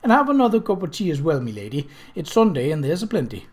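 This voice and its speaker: A woman's voice: low voice, big pitch swings, fast talker, darkish tone. A man voicing a humble, effusive English village widow in an audiobook, deferential, chatty and folksy. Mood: eager, hospitable.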